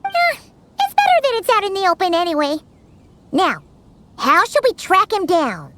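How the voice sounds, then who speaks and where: A woman voicing a tiny, excitable and blunt female companion creature in a game. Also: extremely high pitch, normal speed, no room sound, full character voice. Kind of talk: game voice acting. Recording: game audio with music behind.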